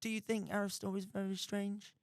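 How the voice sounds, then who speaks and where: A man's voice: sits high, flat delivery, brisk, creaky, no echo. A man hosting a live radio show from a studio. He jokes with his guest and rambles with lots of restarts and fillers. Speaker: radio host. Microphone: studio mic.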